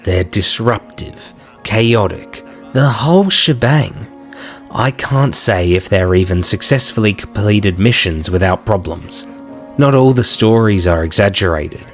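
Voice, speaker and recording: A man's voice: on the low side, animated, a little slow, faint music behind. A man voicing a skeptical, protective crocodile in an audio drama, with a wry, colloquial way of talking. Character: worried, skeptical male crocodile, protective best friend. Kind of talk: fan audio drama acting.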